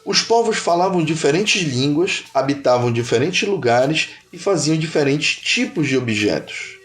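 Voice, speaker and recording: A Brazilian man reading scripted educational narration in a measured, didactic manner. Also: a little high, animated, faint music behind.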